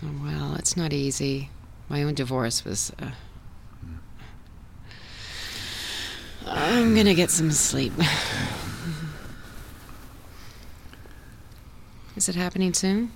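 A woman's voice: low voice, moderate pace, creaky, a little mellow, slight background noise. A female actor in a satirical audio drama, playing a frank, amorous woman who murmurs intimately and asks probing questions. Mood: subdued.

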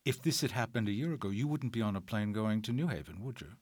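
Husky low-voiced host guy, probing questions, dry sound. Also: animated, fast talker, darkish tone, no echo. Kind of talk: studio discussion.

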